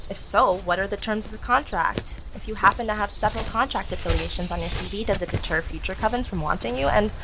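A woman's voice: mid-range voice, fast talker, few pauses, background noise, natural voice. A woman acting in an audio drama as an earnest, bossy, exasperated young witch. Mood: exasperated rambling.

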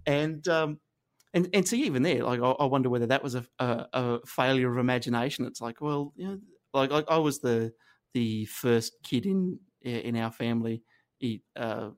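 An Australian man, maybe in his thirties, telling his story as an interview guest. He talks in a halting, self-deprecating way, stopping and restarting often. His pitch sits at an ordinary middle level for a man. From northern New South Wales, Australia. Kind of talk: reflective conversational interview.

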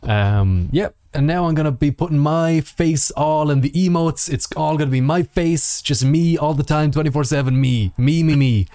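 Mellow-voiced man on a podcast, dry sound. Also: fairly high pitch, normal speed, hardly any pauses, smooth, no room sound. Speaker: podcast co-host. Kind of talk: casual podcast chat.

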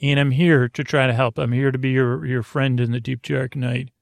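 Creaky-voiced man rambling through a bedtime podcast. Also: mid-range voice, level tone, no room sound, clean studio sound. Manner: meandering, halting, trailing off and repeating words; gentle, soothing address to the listener. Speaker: podcast host.

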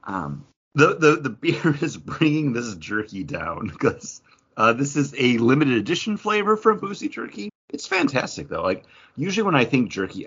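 Casual male podcast host, low husky voice, very animated intonation, slightly dull recording.

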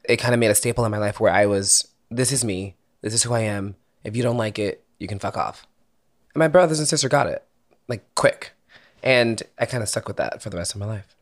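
A man's voice: fairly low pitch, fairly quick. A young man from Philadelphia, a singer-songwriter, talking candidly and casually as an interview guest, laughing easily and swearing now and then.

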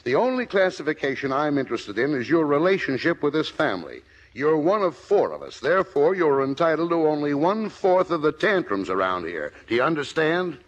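A fairly high, very animated voice for a beleaguered father. He is exasperated but loving, with an American accent, in the style of a 1950s radio comedy. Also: a little fast, muffled recording.

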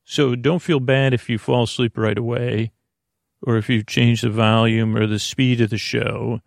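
An American man with a gravelly, creaky voice hosting a bedtime podcast, meandering and halting as he trails off mid-thought. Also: mid-range voice, steady tone, no echo, studio quality. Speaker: podcast host. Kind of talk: rambling bedtime monologue to help listeners sleep.

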